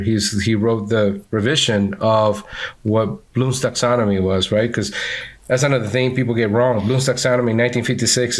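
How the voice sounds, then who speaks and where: A man from Venezuela with a Venezuelan accent, speaking in a lowish voice. He talks as a guest in a relaxed interview, animated and full of fillers and quick restarts. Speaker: podcast guest, instructional designer. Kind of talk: casual interview chat.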